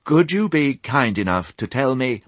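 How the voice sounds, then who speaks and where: A man voicing a proud, impatient old female sheepdog who barks out curt, gruff questions. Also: medium pitch, very animated, unhurried, no room sound, pitched above the performer's own voice. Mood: strained politeness. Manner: curt, gruff, barked orders.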